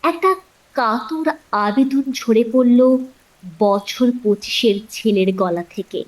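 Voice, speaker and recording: A woman narrator with a high, very animated voice. She reads a scripted suspense story in with dramatic, expressive phrasing. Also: slow, rough recording.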